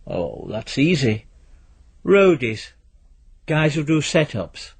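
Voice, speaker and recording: A man's voice: mid-range voice, sing-song, slow pace, vocal fry, slightly rough, heavily acted. A man voicing a laid-back, slangy young man in a story reading, with casual, offhand delivery. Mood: breezy, knowing. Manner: casual, slangy, hesitant fillers.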